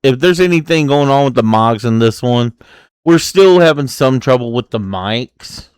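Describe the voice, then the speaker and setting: A man's voice: medium pitch, expressive, moderate pace, clean tone, no echo. A young man co-hosting a casual podcast, teasing and joking with friends. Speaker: podcast co-host. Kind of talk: casual chat.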